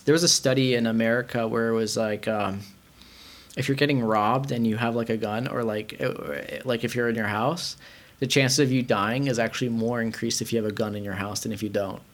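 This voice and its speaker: A young man from Iran chatting casually in a rambling way, with many fillers. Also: medium pitch. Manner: rambling, heavy use of filler words. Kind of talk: casual chat.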